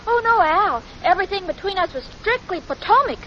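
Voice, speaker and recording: Very high-pitched, sweet dim-witted young woman. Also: lively intonation, a little fast, bright, forceful tone, slight background noise, heavily acted. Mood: earnest, naive. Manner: naive, earnest, hesitant. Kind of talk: 1940s radio sitcom acting. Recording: muffled old radio recording with audience.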